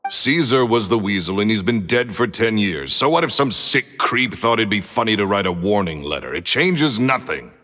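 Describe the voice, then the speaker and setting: An actor playing a short-tempered retired magician who talks in curt, blunt commands. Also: male voice, lowish voice, lively intonation, normal speed, few pauses, pushed and bright. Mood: dismissive. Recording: reverb with game music.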